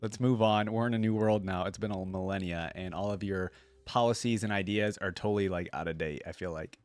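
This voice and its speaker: A man's voice: deep voice, fairly quick. A man giving casual, slangy reaction commentary on game voice lines. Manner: slangy, says bro and dude. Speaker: YouTube reactor. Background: game voice lines and faint music.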